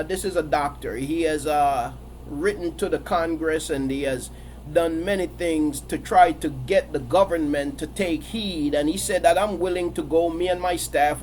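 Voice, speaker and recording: A man's voice: on the high side, darkish tone, a little hiss or hum. A man preaching a sermon while he has a cold and sniffles, repeating his phrases in a building, emphatic cadence.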